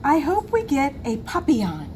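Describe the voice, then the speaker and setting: Storybook role: fancy, excitable little girl played by a woman, highly animated, emphatic, with clear background noise. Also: normal speed. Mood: eager, emphatic. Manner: expressive, emphatic read-aloud delivery. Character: fancy, dramatic, excitable little girl narrator from a picture book. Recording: noisy room recording.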